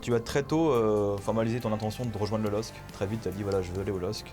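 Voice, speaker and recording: A man's voice: on the low side, fairly even intonation, fast talker, music in the background. A man interviewing a new player for the club, leading with prepared questions and stumbling now and then. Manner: leads with set-up questions, occasional stumbles.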